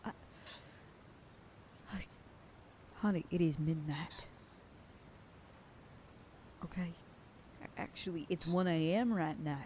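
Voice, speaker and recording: A woman's voice: lowish voice, slow pace, long pauses, warm tone, a little hiss or hum. A woman voicing a protective werewolf sheriff girlfriend in an ASMR roleplay, scolding and bossy. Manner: scolding, bossy then whispering tenderly. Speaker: voice actor.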